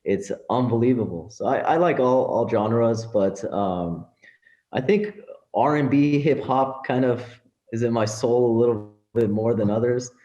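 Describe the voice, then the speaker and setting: A man's voice: mid-range voice, unhurried, dark tone, dull audio. A middle-aged man who also speaks Farsi natively, talking earnestly and casually as a podcast interview guest. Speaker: interview guest, business professional and community organizer. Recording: roomy echo.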